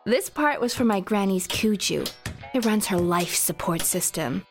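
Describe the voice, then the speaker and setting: A woman's voice: mid-range voice, animated, a little slow, over music. A woman voicing a brash, determined young woman who talks in a casual, sarcastic way.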